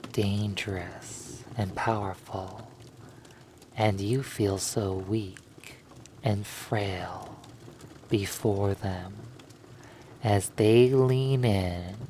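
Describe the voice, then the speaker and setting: Guy reading sleep hypnosis story, super slow n repetitive, faint noise behind him. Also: fairly low pitch, a little mellow. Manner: deliberate, repetitive, hypnotic phrasing. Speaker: hypnosis narrator. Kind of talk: scripted second-person sleep hypnosis narration.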